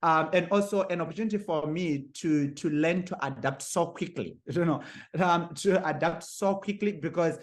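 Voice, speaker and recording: A young man from Zimbabwe, a guest on a podcast, who talks haltingly with many fillers, repeated words and frequent laughs. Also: a little high. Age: young adult. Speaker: guest author. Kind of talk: podcast interview.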